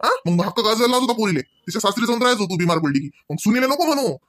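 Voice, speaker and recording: Guy doing a cranky older maharashtrian village husband for a cartoon, rattles off scolding questions, high voice, dry close sound. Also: animated, rapid-fire, fairly bright tone, no echo. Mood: scolding, exasperated. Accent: rural Maharashtrian Marathi. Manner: hectoring, badgering with rhetorical questions. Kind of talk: comic cartoon dialogue, domestic argument.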